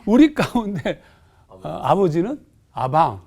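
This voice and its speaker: A man's voice: sits high, wildly swooping pitch, slow, darkish tone. A man, a pastor on a panel, explaining a scripture passage in a thoughtful way with hesitant fillers in a TV studio.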